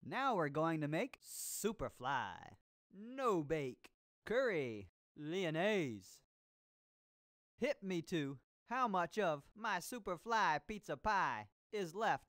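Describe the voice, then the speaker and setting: An actor voicing an exuberant, jive-talking male disco cooking show host in an educational video game, with showy drawn-out exclamations. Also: on the high side, very animated, fairly bright tone, heavily acted. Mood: exuberant, groovy. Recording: disco music behind the voice.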